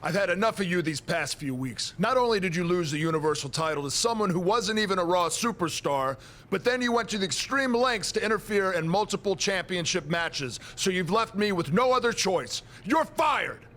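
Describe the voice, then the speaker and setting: Stern, higher-voiced wrestling boss. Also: male voice, animated, moderate pace, a bit bright. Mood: angry, commanding. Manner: authoritative, curt. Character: stern, exasperated male wrestling authority boss. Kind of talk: video game cutscene acting.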